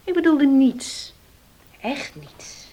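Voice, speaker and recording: A defiant young working woman in a radio drama. Her voice sits somewhat high. She answers in a tense, curt manner and keeps dodging the question. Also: big pitch swings, drawn-out words, mellow, exaggerated voice. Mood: evasive. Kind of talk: Dutch radio play acting.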